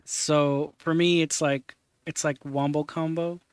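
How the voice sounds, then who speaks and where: A man in his twenties hosts a stream in a loose, casual way. His voice is smooth and a little high, recorded dry and close. He trails off and fills gaps with "um" and "like" as he clicks around. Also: little pitch movement, no echo. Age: 20s. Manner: halting, many fillers while working on screen. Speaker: streamer host. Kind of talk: casual chat.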